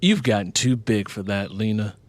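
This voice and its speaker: A man playing a stern, exasperated father in an audiobook, booming and demanding. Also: animated, moderate pace, no room sound, natural voice. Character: stern, exasperated father scolding his teenage daughter.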